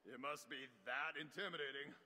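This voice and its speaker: An actor playing a boastful, swaggering monster hunter in a video game, with a cocky, theatrical delivery. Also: male voice, on the high side, normal speed, sharp tone, in a large hall. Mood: boastful joking. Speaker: game character voice lines.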